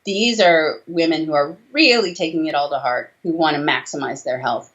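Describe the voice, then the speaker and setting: A woman's voice: sits low, normal speed. An American woman in her forties explaining things emphatically and conversationally as an interview guest on a video call. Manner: emphatic, animated explaining with rhetorical tag questions. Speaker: doctor, interview guest, author. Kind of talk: conversational interview answers on health. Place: small room indoors, on a video call. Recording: dull video-call audio.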